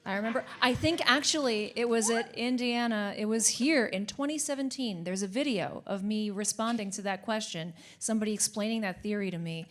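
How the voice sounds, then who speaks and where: A woman's voice: mid-range voice, a little fast, hardly any pauses. An American woman from New York, a voice actress, rambling and giggling on a convention panel. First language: Russian. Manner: rambling, self-interrupting, giggly. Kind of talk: casual panel chat.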